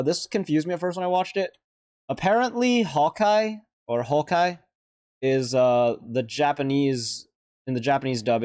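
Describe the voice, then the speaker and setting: A man's voice: medium pitch, darkish tone, no echo, clean studio sound. A man giving casual, off-the-cuff reaction commentary as a video host, rambling and hesitant as he thinks aloud.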